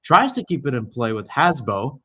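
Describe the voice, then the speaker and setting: Young man calling live soccer, slightly husky. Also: mid-range voice, sing-song, normal speed, sharp tone. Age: young adult. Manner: narrates in short clipped phrases, with asides and self-corrections. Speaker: play-by-play sports commentator. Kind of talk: live radio soccer play-by-play commentary.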